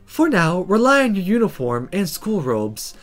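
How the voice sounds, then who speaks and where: A performer voicing a calm, polite, unruffled female magic teacher from a visual novel. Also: medium pitch, normal speed, soft background music, lightly acted. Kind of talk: visual novel read-aloud character voice.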